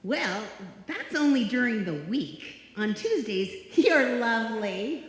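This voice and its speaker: A woman's voice: a little high, very animated, slow pace. A woman playing a sensible, wry, exasperated roommate in live old-time radio comedy style. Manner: wry, sarcastic. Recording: echoey hall, live stage performance.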